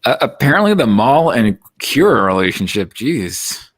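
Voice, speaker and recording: A man's voice: medium pitch, sing-song, normal speed. A man chatting casually in a group discussion.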